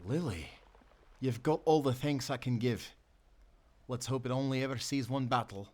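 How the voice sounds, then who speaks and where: A man's voice: medium pitch, moderate pace, a little mellow, lightly acted. Man acting hearty, earnest seafaring sailor. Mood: grateful, earnest. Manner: folksy nautical talk, earnest. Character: hearty, loyal sailor and timberman, grown man. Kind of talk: audio roleplay acting.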